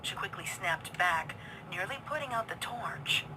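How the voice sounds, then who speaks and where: A woman's voice: mid-range voice, animated, unhurried, background noise. A woman reading scripted horror fiction as a dramatic narrator.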